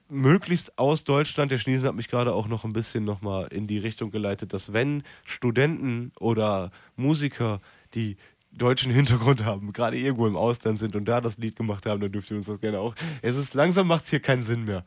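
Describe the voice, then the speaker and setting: A man's voice: medium pitch, fairly quick, no echo. Joking young male radio host, dry sound. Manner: loose and joking, trailing off to mumbles. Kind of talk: casual radio banter.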